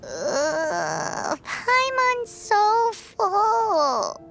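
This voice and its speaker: A woman's voice: very high voice, wildly swooping pitch, drawn-out words, creaky, full character voice. A woman voicing a tiny childlike floating companion in a video game, cheeky and blunt. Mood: groaning, stuffed. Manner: blunt, chirpy, refers to self in third person. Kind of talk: animated video game character acting. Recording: music playing behind the voice.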